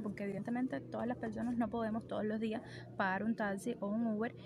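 Young woman, Cuban accent, enthusiastic vlog chat, phone at arm's length, clear outdoor noise. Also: mid-range voice. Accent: Cuban Spanish. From Cuba. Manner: chatty, enthusiastic, with fillers. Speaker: vlogger. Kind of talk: casual vlog talk to camera. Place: outdoors by trees on a street. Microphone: phone camera held at arm's length. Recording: outdoor street noise behind.

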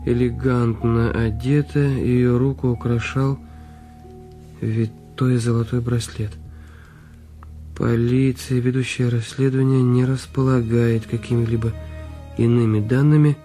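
An actor playing a brooding, secretive husband in a radio detective play, speaking deliberately in short phrases. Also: male voice, mid-range voice, dark tone, close to an everyday voice.

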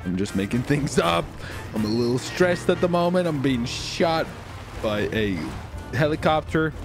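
A man hosting a gaming video, giving excitable roleplay gameplay commentary, talking to himself, swearing and breaking into shouts. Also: fairly high pitch, normal speed, over music. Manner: excitable, swearing, shouting outbursts, self-talk.

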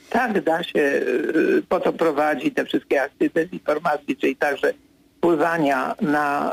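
Gravelly, husky older man, political commentator. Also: a little high, no room sound, rough recording. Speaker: political commentator, radio guest. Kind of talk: political analysis in a radio interview. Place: radio studio.

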